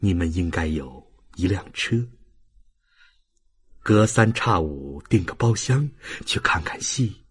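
A man performing an audiobook as a frail, dying old father, tender and humble, with halting, sentimental delivery and a husky, breathy voice. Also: low voice, lively intonation, full character voice. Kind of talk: dramatic audiobook reading of a classic novel.

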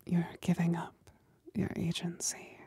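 A woman's voice: lowish voice, monotone, a little slow, mellow, no room sound, heavily acted. A woman voicing a possessive demon companion in a whispered ASMR roleplay, seductive and commanding, soothing yet domineering. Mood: coaxing, commanding. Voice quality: breathy whisper.

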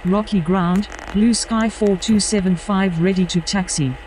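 A woman's voice: medium pitch, steady tone, a little slow, a little mellow, natural voice, low-quality recording. Woman acting airline pilot, faint outdoor noise. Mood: businesslike. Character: airline pilot radioing air traffic control. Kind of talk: clipped scripted radio phraseology.

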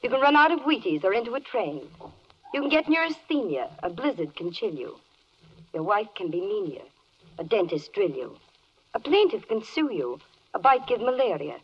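A woman's voice: medium pitch, sing-song, moderate pace, husky. An actress playing a poised, charming spokeswoman reciting lyrical verse in a 1940s radio play. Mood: wry, reciting. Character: poised, charming female spokeswoman for animal kingdom. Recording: muffled 1940s radio broadcast.

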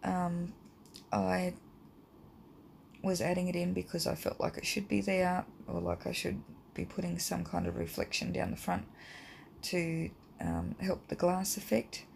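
Lady w/ a croaky hay fever voice, rambly art lesson, lots of ums, bit of faint hiss. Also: on the low side, a little slow. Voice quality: croaky, gravelly. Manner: relaxed and rambling, many ums. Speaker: art teacher. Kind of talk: casual drawing tutorial voice-over.

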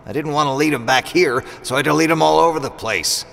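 A man's voice: on the high side, fast talker, vocal fry, a bit bright, in a large hall. A man playing a wry, defensive, seasoned mercenary assassin in an audio drama, with a sardonic, clipped delivery. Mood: defensive, dry.

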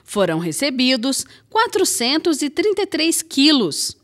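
Lively Brazilian woman news reporter. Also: sits high, a little slow. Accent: Brazilian Portuguese. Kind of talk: scripted news narration.

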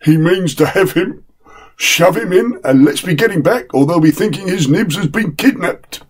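Guy doing a plain working-class chauffeur, a bit higher voice, husky. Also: expressive, normal speed. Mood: placating. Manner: plain-spoken, ungrammatical.

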